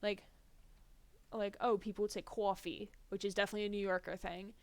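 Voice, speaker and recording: A woman's voice: medium pitch, level tone, brisk, dry recording. A young woman from New Jersey, a streamer chatting casually and rambling while she games. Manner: chatty, rambling, many fillers. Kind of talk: casual chat while gaming.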